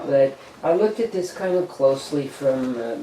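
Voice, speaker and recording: Mellow-voiced man brainstorming in a roomy space. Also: mid-range voice, expressive, moderate pace. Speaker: group member pitching staging ideas. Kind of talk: informal brainstorming talk. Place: indoor meeting room. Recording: roomy, distant mic, faint noise.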